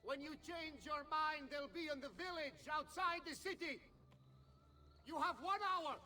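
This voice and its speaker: A man's voice: very high voice, bright, forceful tone, soft background music, full character voice. A man playing a brash, sadistic Italian mercenary brother in a video game, taunting and theatrical with an Italian accent. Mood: menacing threatening. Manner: theatrical, mocking, drawn-out delivery. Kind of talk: video game villain acting.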